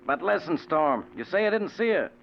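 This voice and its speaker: A skeptical male police captain with a raised, very animated pitch. He is exasperated and challenging, pressing each point emphatically, on a muffled 1940s radio drama recording. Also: normal speed, creaky, a little hiss or hum, full character voice. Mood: doubtful.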